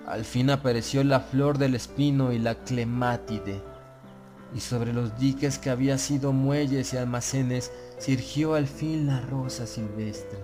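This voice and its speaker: A man narrating a gothic tale as an audiobook, in a solemn, measured, literary delivery with phrases that fall away at the end. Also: medium pitch, steady tone. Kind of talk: gothic horror story narration in Spanish, first person. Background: background music.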